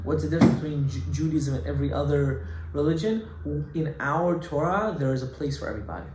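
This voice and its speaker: A man giving an animated Torah class as a rabbi, emphatic and full of rhetorical questions, mixing Hebrew terms into his English. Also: on the high side, fast. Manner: emphatic, rhetorical questions, acts out objections loudly. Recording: echoey room with faint background noise.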